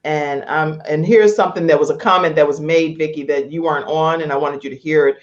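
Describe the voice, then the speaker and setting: A woman hosting a talk show in an animated, conversational style, effusive and emphatic, repeating phrases for emphasis. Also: deep voice, brisk, muffled recording.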